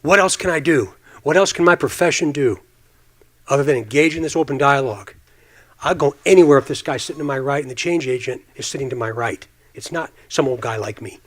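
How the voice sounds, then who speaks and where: Guy in his 50s, police official type, earnest n emphatic speech on a panel, medium pitch, repeats himself for stress. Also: a little fast, a bit bright. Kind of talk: impassioned forum speech.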